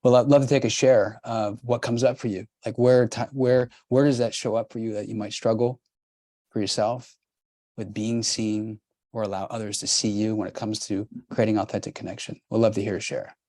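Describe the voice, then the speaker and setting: A man's voice: on the low side, flat delivery, moderate pace, dark tone, no echo, studio quality. A middle-aged man hosting a workshop, coaching the group in an emphatic, urging way with lots of repetition.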